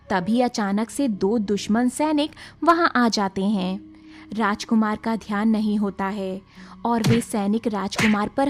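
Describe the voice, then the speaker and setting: A woman's voice: medium pitch, a little mellow. A woman narrator reading a scripted storybook tale.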